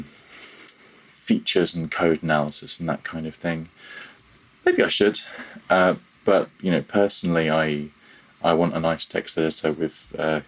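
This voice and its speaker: A man talking casually as a technical interview guest, feeling his way through his thoughts and rephrasing as he goes. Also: very deep voice. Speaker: podcast guest, audio programmer.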